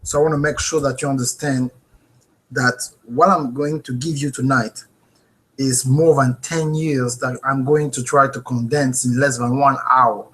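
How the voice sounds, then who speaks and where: A man with a French accent leads a live online class from his home office, and his voice comes through a webcam mic. He is earnest and animated and keeps checking in with the viewers. His pitch sits in a middle range for a man. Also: unhurried. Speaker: host. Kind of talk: live webinar teaching.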